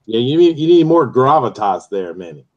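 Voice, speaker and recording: A man's voice: mid-range voice, big pitch swings, normal speed, dull audio. A man with an ordinary mid-range voice chats on a live stream as the guest writer. His manner is easygoing, and he mostly gives short replies. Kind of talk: casual chat on a live stream.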